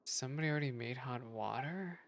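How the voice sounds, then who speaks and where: A woman's voice: a bass voice, brisk, noisy background. A woman streaming a game with casual, exclamatory, chatty reaction commentary. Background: game music.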